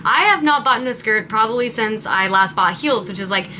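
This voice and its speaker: Bright, chatty young woman rambling. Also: a little high, animated, normal speed, a little hiss or hum. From Montana, raised in Southern California. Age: 20s. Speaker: content creator. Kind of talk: casual get-ready-with-me chat.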